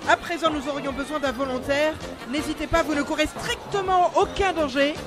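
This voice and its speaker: A man's voice: very high-pitched, fairly quick. An actor playing a showy male circus ringmaster in an audio drama, announcing grandly in a projected, theatrical style. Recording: music behind the announcer.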